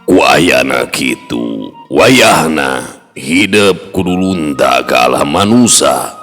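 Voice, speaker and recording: A man voicing a wise, authoritative old jinn king who gives slow, solemn fatherly counsel in a Sundanese tale. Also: extremely low pitch, expressive, a bit bright, full character voice. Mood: solemn, commanding. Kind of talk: Sundanese storytelling character voice. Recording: long hall reverb, music underneath.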